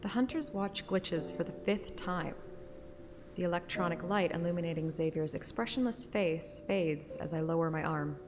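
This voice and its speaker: A woman's voice: on the low side, normal speed, in a large hall. A woman narrating a fantasy romance game story in an audiobook style. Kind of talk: audiobook narration with acted dialogue.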